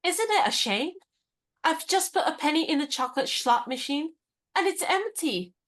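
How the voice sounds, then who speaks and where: A woman's voice: high voice, animated, moderate pace, voice pushed up. A woman voicing a cheerful, chatty young woman in a radio play. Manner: chatty, bright, playful.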